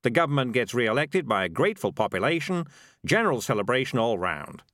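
A man's voice: mid-range voice, very animated, brisk, fairly bright tone, no echo, studio quality, pitched above the performer's own voice. A man voicing a cynical, bossy talking animal schemer who lectures in a wheedling, condescending way in a storybook reading. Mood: cynical, exasperated.

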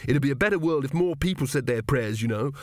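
A man's voice: mid-range voice, animated, fast talker, no room sound, clean studio sound, pitched above the performer's own voice, close to an everyday voice. A man voicing a plucky, decent, outspoken boarding-school boy, in an audiobook. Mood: indignant.

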